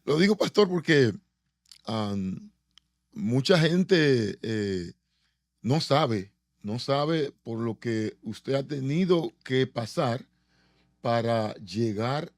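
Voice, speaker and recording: Male interviewer, mid-pitched voice, dry recording, conversational and pausing between phrases. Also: animated, no echo.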